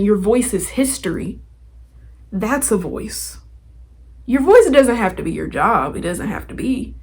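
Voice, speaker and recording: A woman's voice: fairly high pitch, slightly rough. A woman hosting a podcast, giving a casual motivational talk straight to listeners in an informal, slangy, emphatic way. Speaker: podcast host.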